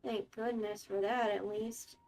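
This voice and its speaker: A woman's voice: medium pitch, flat delivery, unhurried, darkish tone, rough recording. A woman streamer giving casual, brief and sympathetic reactions during a game playthrough. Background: game music.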